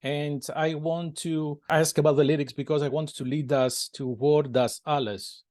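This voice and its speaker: Hesitant man interviewer, higher voice. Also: fairly even intonation, moderate pace, darkish tone. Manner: hesitant, repeats words, laughs. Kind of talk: casual interview questions.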